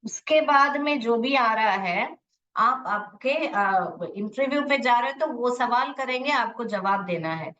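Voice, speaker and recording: An Indian woman teaches an online English class at an unhurried, slow pace. She explains step by step with examples and often adds short check-in questions. The audio is dull and sounds away from the microphone. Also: a little high, animated, a bit bright, distant mic. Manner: instructional, checks understanding with short tag questions.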